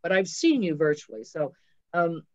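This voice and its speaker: A woman's voice: on the low side, sing-song, normal speed, a little mellow. Woman theater teacher on dull lo-fi audio. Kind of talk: casual conversation on a panel. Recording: dull, lo-fi video call audio.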